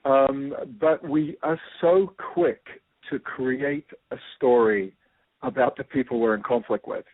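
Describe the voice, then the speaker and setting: A man's voice: medium pitch, measured pace, no room sound. A man with a New Zealand accent, a guest explaining ideas through a personal story, thoughtful and full of fillers. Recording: tinny phone-like line.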